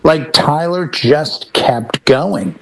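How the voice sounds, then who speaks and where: A man's voice: a little high, animated, drawn-out words, creaky. A man chatting casually as a talk show panelist, explaining his points emphatically and with anecdotes. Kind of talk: casual chat about rock bands.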